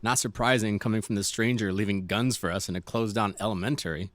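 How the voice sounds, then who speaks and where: A man's voice: lowish voice, steady tone, fast, dry recording, natural voice. A man playing a wisecracking, easygoing city gang member who teases old friends in a casual audio drama. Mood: matter-of-fact. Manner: teasing, casual banter.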